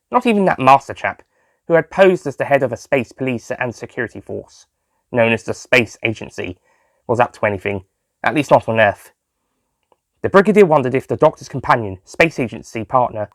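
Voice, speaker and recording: A man's voice: medium pitch, no room sound. Dry-recorded male story narrator. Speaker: author and narrator.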